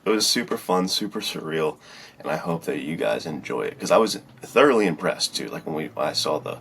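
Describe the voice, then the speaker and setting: Very deep, gravelly man chatting casually. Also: expressive, fairly quick, fairly bright tone, a little hiss or hum. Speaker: podcast speaker, filmmaker and writer. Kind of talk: casual conversational storytelling.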